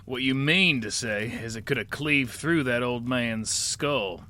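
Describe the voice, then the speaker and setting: A man's voice: medium pitch, measured pace, bright, forceful tone, a little hiss or hum. An actor playing a weary gunslinger who narrates his tale in a dry, laconic drawl. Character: weary male gunslinger, laconic and world-worn. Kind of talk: first-person narration.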